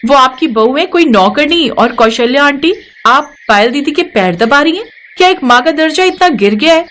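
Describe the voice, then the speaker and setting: High-pitched, outspoken young daughter-in-law, acted by a woman. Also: animated, normal speed, a bit bright, slight background noise. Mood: indignant, reproving. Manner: earnest, reproving, lecturing. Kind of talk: acted moral-story cartoon dialogue.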